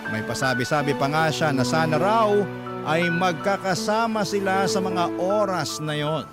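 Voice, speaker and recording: A man tells a listener's story as a radio host, in scripted dramatic narration. His voice is a little high for a man. Loud music plays beneath him. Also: moderate pace. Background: prominent background music.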